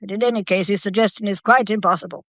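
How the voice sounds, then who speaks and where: An imperious old English matriarch , acted by a woman, holds forth in a radio-style mystery drama. She is formal, deliberate and stubbornly inquisitive. The sound is very dry and a little dull, with a middling pitch. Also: fairly bright tone, no echo, close to an everyday voice. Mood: firm, composed. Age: older. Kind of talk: audio drama acting.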